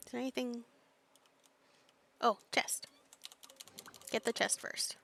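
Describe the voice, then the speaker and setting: A woman with a fairly high voice streams a video game. She talks casually to herself as she plays, in a playful way, sprinkled with cutesy little exclamations. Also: steady tone, slow pace, creaky, bright, forceful tone. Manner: playful, uses cutesy interjections. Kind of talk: casual gameplay commentary, chatting to herself.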